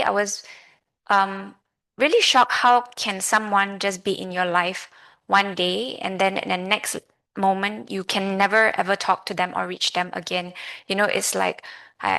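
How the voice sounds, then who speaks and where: Malaysian woman, 30s, lay Buddhist speaker; creaky mid-pitched voice, conversational and halting. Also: sharp tone. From Malaysia, grew up in Kuala Lumpur. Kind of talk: personal Dhamma talk to an audience.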